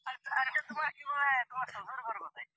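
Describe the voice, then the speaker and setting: A man with a husky, high, very sharp voice comes through thin phone audio. He argues casually, questioning and protesting. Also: very fast, no room sound, clean studio sound. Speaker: prank call recipient.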